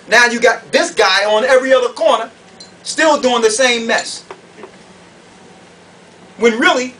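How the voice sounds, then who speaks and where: A man's voice: extremely high pitch, fairly bright tone. A man preaching a fiery doctrinal sermon to an audience, emphatic and confrontational, firing off rhetorical questions. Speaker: preacher, conference speaker. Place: conference room or hall. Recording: distant mic, noisy room ambience.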